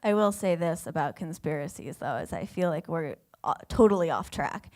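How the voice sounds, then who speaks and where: A woman's voice: deep voice, lively intonation, moderate pace, darkish tone. A young woman from Vermont performing a stand-up comedy set on a theater stage, self-mocking and acting out the people she quotes. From Vermont, USA.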